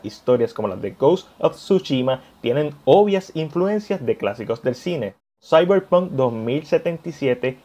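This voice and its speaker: A man hosts a scripted video essay in voice-over. His pitch sits a little high and moves around in an animated way as he addresses the viewers. The recording is slightly muffled. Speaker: host of a video channel on cinema and video games.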